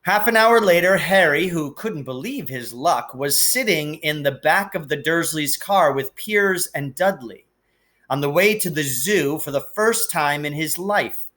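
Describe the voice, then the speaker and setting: A man narrates a storybook expressively. His voice sits a little high and has a bright, forceful edge, with deliberate phrasing that leans on key words. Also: expressive. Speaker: teacher reading aloud.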